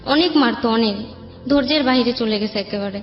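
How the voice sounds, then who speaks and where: A Bangladeshi woman recounts her own life as a guest in an interview. Her voice sits a little high and she speaks colloquially. The recording is echoey and dull, with music under it. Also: a little fast. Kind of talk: personal life storytelling, conversational. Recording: echoey room, dull sound, faint music.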